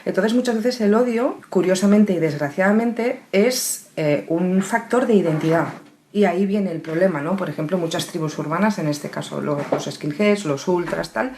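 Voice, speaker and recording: Low-voiced Spanish woman explaining. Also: normal speed, dark tone, slight background noise. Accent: Spain. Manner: didactic, with rhetorical questions and tags. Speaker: psychologist and criminologist, expert guest. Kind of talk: explanatory interview.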